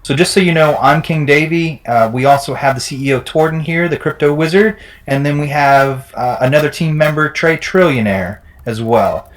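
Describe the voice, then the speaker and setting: A man's voice: on the high side, normal speed, hardly any pauses. Upbeat man hosting a livestream. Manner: energetic, promotional. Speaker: livestream host.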